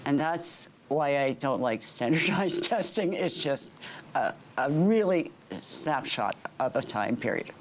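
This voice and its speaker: A woman with a notably low voice speaks earnestly, stopping often on fillers as she answers. Her replies come in plain, sincere bursts. A faint room hum sits behind her. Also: lively intonation, unhurried. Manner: earnest, hesitant with many fillers. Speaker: school trustee candidate. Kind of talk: candidate interview answers.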